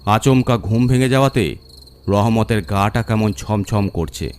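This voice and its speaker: A man narrating a scripted horror story in a suspenseful, deliberate manner. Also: lowish voice, a little hiss or hum.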